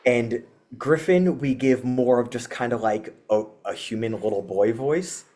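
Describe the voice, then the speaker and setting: A man's voice: medium pitch, measured pace, warm tone, soft background music. A man, a metal band frontman, chatting as a podcast guest, rambling and laughing, with many filler words. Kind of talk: casual interview chat.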